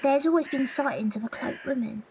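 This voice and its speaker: A woman playing an earnest, loyal young Victorian London maid and investigator, deferential and breathy, in an audio drama. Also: high voice, fairly quick, background noise. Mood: curious, urgent.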